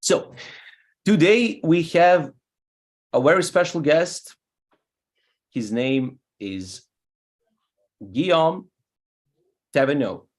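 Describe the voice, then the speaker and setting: A man's voice: a little high, animated, very slow, pauses often. Serbian man hosting an online show. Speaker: guest host. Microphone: video call.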